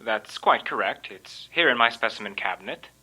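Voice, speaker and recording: An actor playing a stiff, self-important doctor in an old time radio mystery, speaking formally and emphatically. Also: male voice, medium pitch, animated, normal speed, bright, forceful tone, slightly rough, heavily acted, poor audio. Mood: stiff, indignant.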